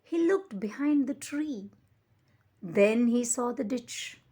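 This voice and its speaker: A woman reading a children's picture book aloud as a storyteller, with expressive, deliberate emphasis. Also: on the high side, husky.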